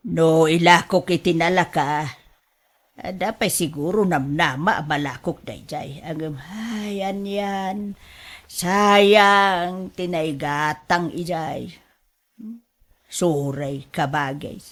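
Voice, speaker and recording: A woman with an Ilocano accent playing an excitable, fretful middle-aged mother in a radio drama, expressive and exclamatory. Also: lowish voice, slow pace. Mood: regretful, complaining. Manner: expressive, exclamatory, laughs easily.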